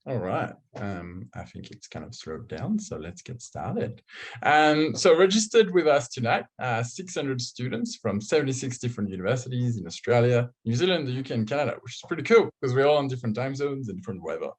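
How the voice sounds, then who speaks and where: A man's voice: mid-range voice, lively intonation, a little fast, breathy edge. A young man with a French accent hosts an online student panel from his own video call. He chats loosely and pokes fun at himself, with plenty of ums between his phrases. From France. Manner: chatty, self-joking, filler-heavy. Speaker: host. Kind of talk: casual online panel hosting.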